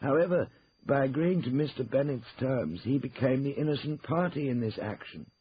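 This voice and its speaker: A man voicing a stern, disapproving senior lawyer in an audiobook, formal, deliberate and pompous. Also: medium pitch, expressive, dull audio, a little hiss or hum, voice pushed up, close to an everyday voice. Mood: coolly sceptical. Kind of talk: acted audiobook dialogue.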